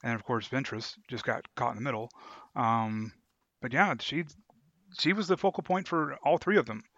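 A man's voice: medium pitch, moderate pace, a bit bright, dry recording, lo-fi sound. A man co-hosting a podcast in a casual book discussion, hesitant and often searching for words. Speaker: podcast co-host.

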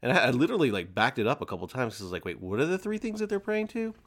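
A man co-hosting a podcast in casual discussion, retelling things in an animated way and acting out quotes. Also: mid-range voice, racing. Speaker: podcast co-host. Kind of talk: casual discussion of a fiction audiobook.

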